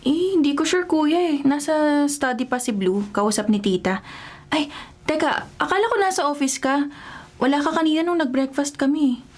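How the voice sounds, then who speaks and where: A woman's voice: high-pitched, moderate pace, lightly acted. A woman playing a laid-back, boyish young woman in a Filipino radio drama, casual and slangy with a Filipino accent. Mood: wistful, casual. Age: young adult.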